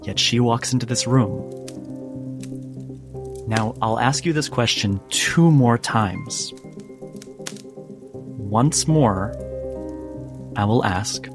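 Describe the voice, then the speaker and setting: A man runs a tabletop game with dramatic narration. His voice is on the low side and very slow, broken by deliberate pauses. Music plays clearly underneath. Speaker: game master. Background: background music.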